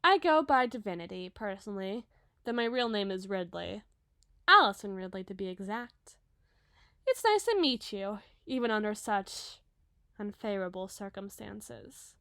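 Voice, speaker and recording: A woman's voice: medium pitch, animated, fairly bright tone, clean tone, no room sound, clean studio sound. A woman voicing a dramatic, sweet young giantess model in an audio roleplay, with an emotive, chatty delivery. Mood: friendly. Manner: emotive, chatty, halting when flustered.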